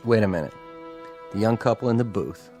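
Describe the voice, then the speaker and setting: An actor playing a plain-spoken, puzzled bus driver with a folksy, matter-of-fact manner in an audio drama. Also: male voice, fairly low pitch, lively intonation, fairly quick, dark tone, soft background music, lightly acted.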